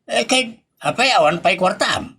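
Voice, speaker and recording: A man playing a hapless, pleading husband and father in a comedy audio drama, stammering and exclaiming excitably in a husky voice. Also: high voice, moderate pace, exaggerated voice. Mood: pleading, agitated.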